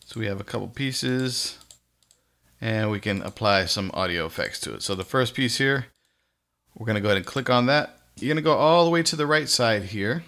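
A man with a fairly low voice and a bright, forward tone. He talks like an informal tutorial host, walking listeners step by step through software in a casual, folksy manner.

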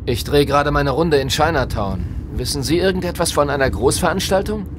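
A man's voice: a little high, lively intonation, fairly quick, natural voice. An actor playing a businesslike, courteous patrol policeman in a radio drama, speaking in a matter-of-fact, conversational way. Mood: businesslike, puzzled. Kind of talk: naturalistic German radio drama acting. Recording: clear vehicle noise behind.

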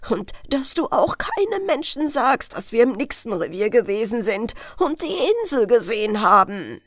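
Stern old fairy-tale fisherman played by a female reader, vehement, commanding, very animated delivery. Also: a little high, moderate pace, no room sound, studio quality. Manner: emphatic, commanding, admonishing. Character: stern, vehement old fisherman father warning his daughter.

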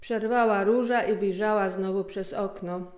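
A middle-aged woman narrating a scripted story in an unhurried, expressive manner. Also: medium pitch. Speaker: author and narrator. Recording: roomy, echoing sound.

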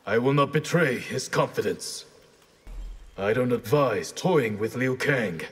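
A stern masked ninja master in a fighting game. He speaks solemnly and formally, with a slightly husky grain and a hall-like reverb around the voice. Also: mid-range voice, lively intonation, measured pace, a bit bright, full character voice. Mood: solemn, resolute. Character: stern, honor-bound masked ninja clan leader. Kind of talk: dramatic fighting game dialogue.

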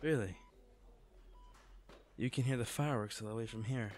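A man playing an injured, devoted boyfriend in an intimate audio roleplay, speaking tenderly, earnestly and haltingly. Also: mid-range voice, a little mellow, close to an everyday voice. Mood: drowsy, affectionate. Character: injured, devoted boyfriend proposing from a hospital bed.

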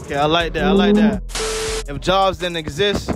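A man's voice: sits high, normal speed, over loud music. A man from Miami doing street interviews outdoors with a handheld mic, teasing and joking with his guests.

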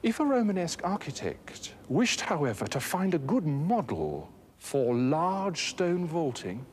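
A man in his thirties presenting a scripted educational documentary in a measured, articulate, expository manner. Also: fairly high pitch, big pitch swings. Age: 30s. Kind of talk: scripted educational narration on architecture. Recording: noisy old documentary film soundtrack.

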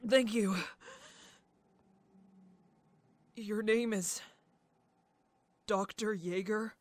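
A woman's voice: mid-range voice, fairly even intonation, slow, no echo, natural voice. A woman playing a timid, polite young boy in an audio drama, stammering and hesitant. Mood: nervous. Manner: stammering, hesitant, soft-spoken.

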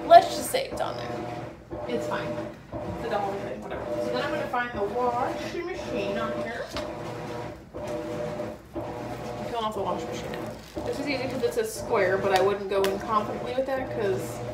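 A woman's voice: on the high side, animated, normal speed, long pauses, over loud music. A middle-aged woman vlogging at home, thinking aloud in casual chat as she works. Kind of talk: casual chat while decorating. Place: small laundry room indoors at home. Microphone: camera or phone set a few feet away. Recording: roomy, distant mic, noisy background.

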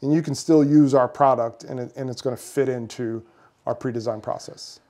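A man's voice: mid-range voice, fairly even intonation, normal speed, dark tone. A man in his thirties, a builder and firm founder, explaining his work earnestly in an office meeting room, with some fillers. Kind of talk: interview answers.

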